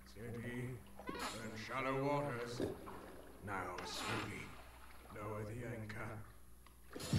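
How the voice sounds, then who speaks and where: An actor playing a crazed old ship captain in a video game, theatrical, ranting and repeating himself. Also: male voice, mid-range voice. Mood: slow, commanding. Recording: distant, very noisy game audio.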